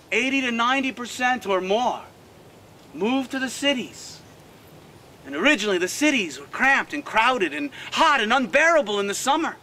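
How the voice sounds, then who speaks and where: A man's voice: very high-pitched, pushed and bright, exaggerated voice. A man playing a proud nineteenth-century park designer, telling his life story earnestly to a tour group outdoors. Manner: earnest, emphatic, addresses the crowd directly. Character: proud old Swiss-born park designer. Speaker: costumed tour guide, historical reenactor. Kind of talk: first-person living-history storytelling. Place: outdoors by a prairie on a walking tour.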